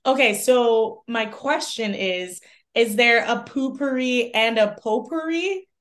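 A woman's voice: on the high side, slow. Light-voiced laughing woman co-host. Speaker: podcast co-host. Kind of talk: casual chat.

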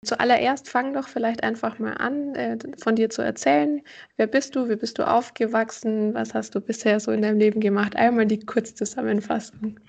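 A woman's voice: a little high, fairly even intonation, normal speed, gravelly, darkish tone. A woman co-hosting a podcast, asking friendly, curious questions. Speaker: podcast co-host. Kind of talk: podcast interviewing.

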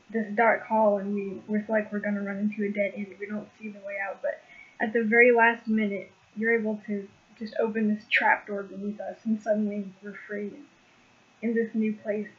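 Co-host leading prayer, steady and flat, phone-quality audio. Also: medium pitch. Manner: earnest, measured, steady. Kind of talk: spoken prayer. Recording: thin phone-like call audio.

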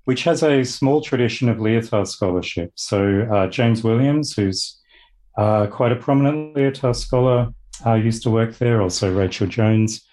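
A man with an Australian accent, a philosophy lecturer speaking as a guest in a casual interview, thoughtful and measured with occasional fillers. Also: mid-range voice, dark tone, poor audio.

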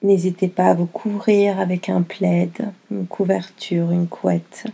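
A woman guiding a yoga nidra meditation in a gentle, unhurried manner, giving soothing instructions in short phrases with long pauses. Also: fairly low pitch, little pitch movement, gravelly, slightly rough.

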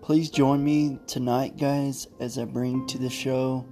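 A man hosting a podcast, informally signing off in short, halting chunks. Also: medium pitch, level tone, warm tone, faint music behind. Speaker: podcast host.

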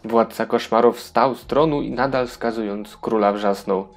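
A man's voice: medium pitch, fairly even intonation, moderate pace, faint music behind. A man narrating a children's audio fairy tale in a scripted storybook style.

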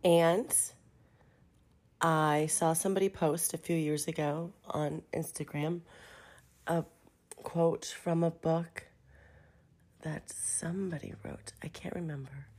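Low-voiced woman in her thirties, stuffy with a cold, chatty voice-memo delivery. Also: fairly even intonation, slow pace, pauses often, darkish tone. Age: 30s. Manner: chatty, self-deprecating, many fillers. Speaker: contributor sending a voice memo. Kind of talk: casual voice memo.

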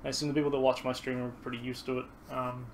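A man from Scotland whose accent mixes Scottish, American and New Zealand sounds, a podcast guest chatting casually about games with laughs and quieter asides. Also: medium pitch, level tone, rapid-fire, slight background noise, rough recording. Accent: mixed Scottish, American and New Zealand. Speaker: podcast guest, fighting game streamer. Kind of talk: casual chat.

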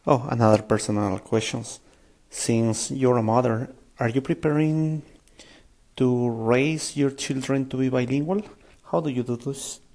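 Gravelly-voiced male interview host. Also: medium pitch, unhurried, darkish tone. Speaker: podcast host. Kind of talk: interview questions.

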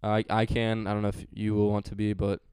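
A man's voice: lowish voice, monotone, brisk, a little mellow, smooth, no echo. A man hosting a casual sports podcast, chatty and joking, with frequent fillers. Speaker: podcast host.

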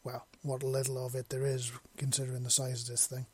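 A man voicing a proud, blunt human man who is quick to snap, in an audiobook story. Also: mid-range voice, completely flat delivery, breathy edge, close to an everyday voice. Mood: casual. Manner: blunt, sweary.

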